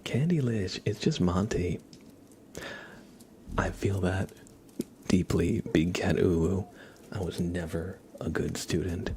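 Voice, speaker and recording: A young adult man speaking softly and gently as an ASMR livestreamer, half whispering as he chats casually to viewers. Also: sits low, takes it slowly, pauses often, slight background noise. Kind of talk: soft-spoken ASMR, casual chat with viewers.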